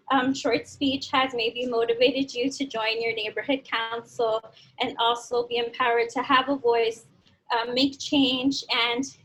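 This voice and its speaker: A woman's voice: fairly high pitch, a bit bright, rough recording. A young adult woman giving an earnest, motivational personal speech to an audience, her throat a little dry. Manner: earnest, motivational, leads audience in call-and-response. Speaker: speaker at a community event.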